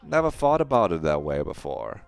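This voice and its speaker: A man's voice: low voice, sing-song, moderate pace, gravelly, smooth, dry recording, soft background music, full character voice. A man playing a blunt, teasing teenage girl. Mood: impressed.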